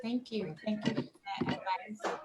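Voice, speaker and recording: A woman hosting a discussion panel, speaking in a measured way with hesitant fillers. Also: medium pitch, fairly even intonation, a little hiss or hum. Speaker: host.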